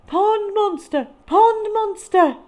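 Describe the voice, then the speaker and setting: A woman's voice: very high-pitched, takes it slowly, a little mellow, voice pushed up. A woman voicing a sensible, friendly but easily alarmed hedgehog in a children's storybook.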